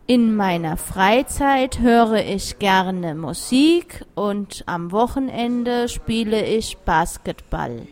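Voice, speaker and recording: A middle-aged woman, a language teacher, reading a short scripted self-introduction very slowly and carefully. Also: medium pitch, animated, a little mellow. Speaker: German teacher. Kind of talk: scripted monologue for a listening exercise.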